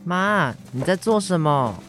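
A man's voice: high-pitched, animated, takes it slowly, fairly bright tone, faint music behind, heavily acted. A teenage boy with a Taiwanese Mandarin accent acting a caring schoolboy son in a radio drama. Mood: worried, scolding. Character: Ah-Zhong, caring schoolboy son, nagging his injured mother. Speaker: student voice actor.

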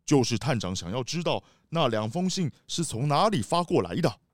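A man's voice: medium pitch, big pitch swings, normal speed, no room sound, clean studio sound. A man playing an earnest, puzzled official in a children's detective audio drama.

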